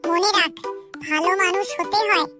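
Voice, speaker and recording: Woman voicing a high-pitched clever little cartoon monkey. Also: steady tone, moderate pace, music in the background. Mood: cautioning. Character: clever, loyal talking monkey, a wary young friend. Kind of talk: children's moral story cartoon.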